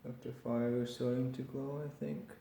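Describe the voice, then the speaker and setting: A man's voice: mid-range voice, level tone, normal speed, vocal fry, warm tone. A man casually narrating a hands-on repair, tentative and thinking aloud as he works. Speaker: hobbyist radio repairer presenting. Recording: roomy echo with faint background noise.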